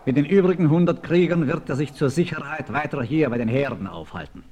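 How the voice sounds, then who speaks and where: An actor playing a dignified, solemn war chief in a 1960s German radio play, speaking formally. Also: male voice, fairly high pitch, expressive, a little fast, natural voice. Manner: formal, third-person, solemn. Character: dignified Native chief and war leader, male. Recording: crowd noise behind, old radio play.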